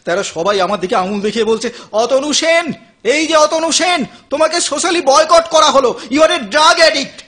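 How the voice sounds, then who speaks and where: An actor playing a tormented, desperate addict husband in an audio drama, in an anguished, halting, melodramatic manner. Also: male voice, extremely high pitch, expressive, pushed and bright, heavily acted. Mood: self-loathing. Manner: anguished, halting, repeats phrases emphatically.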